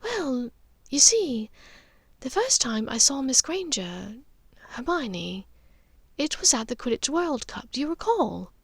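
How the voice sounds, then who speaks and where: A high, soft voice of a gentle aristocratic witch mother, put on by a woman narrator. She is knowing and wistful, pausing delicately and sometimes fading toward breathiness. It is an audiobook character in a dry, close recording. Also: big pitch swings, slightly rough, dry recording, full character voice. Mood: wistful, reminiscing.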